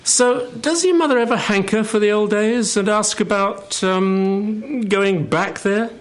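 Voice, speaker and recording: A man's voice: sits high, a little slow. A man playing a curious, cheeky office co-worker in a radio play, chatting in a teasing, conversational way. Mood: amused, curious. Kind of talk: stage radio play acting.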